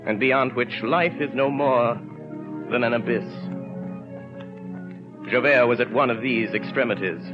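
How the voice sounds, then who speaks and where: Male narrator, medium pitch, solemn theatrical phrasing, vintage dull-sounding broadcast with prominent musical backing. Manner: grave, theatrical, measured phrasing. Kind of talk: dramatic literary narration, 1930s radio. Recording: muffled 1930s radio recording.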